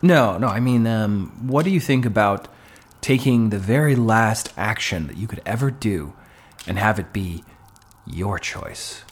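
An actor playing a frank, wry but shaken married man in a stage play, speaking in a halting, measured way over a noisy theater recording. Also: on the low side, expressive. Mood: resigned, probing.

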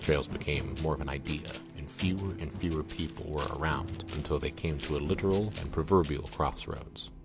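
Very deep-voiced male drama narrator. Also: brisk, few pauses. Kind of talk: scripted audio drama narration. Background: music.

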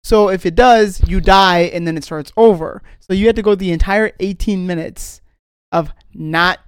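Giggly, excitable gaming podcast co-host, casual delivery with repeated phrases, dry recording. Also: mid-range voice, normal speed, smooth, no room sound.

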